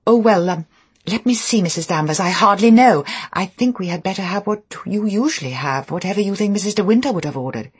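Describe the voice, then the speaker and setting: A woman's voice: sits low, big pitch swings, brisk, few pauses, a little mellow, husky, heavily acted. A woman voicing a timid, flustered young wife who is newly mistress of a house, with a stammering, hesitant, apologetic manner.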